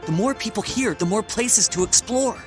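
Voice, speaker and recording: Female actor as easygoing young adventurer, animated pitch, casual, cocky, game drama, loud score behind. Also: normal speed, lightly acted. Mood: curious, upbeat. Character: brash, curious, easygoing young fantasy adventurer. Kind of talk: video game cutscene acting. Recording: loud music playing behind.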